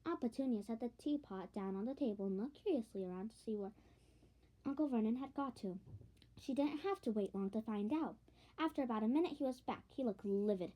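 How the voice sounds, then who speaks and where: A woman reading a storybook aloud as narration, expressive, with the occasional stumble over a phrase. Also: a little high, brisk, poor audio. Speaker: audiobook reader.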